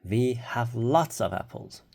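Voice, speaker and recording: A reader voicing a cheerful, literal-minded housekeeper woman playing teacher in a children's storybook, with an emphatic, deliberate delivery. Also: mid-range voice, lively intonation, vocal fry, a little mellow, full character voice. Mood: bright, instructing. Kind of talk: children's storybook read-aloud.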